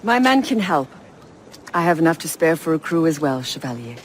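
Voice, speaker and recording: A self-assured conspirator speaks formally in a slightly high voice. His confident game dialogue has faint ambience behind it. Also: big pitch swings, fairly quick, a little hiss or hum. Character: self-assured male member of secret order. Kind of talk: dramatic video game acting.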